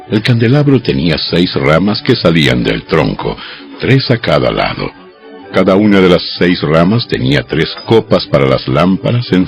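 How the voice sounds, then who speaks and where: A man reading scripture as a dramatized audio Bible narrator, with measured, deliberate phrasing. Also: a bass voice, gravelly. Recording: dull lo-fi audio over music. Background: background music.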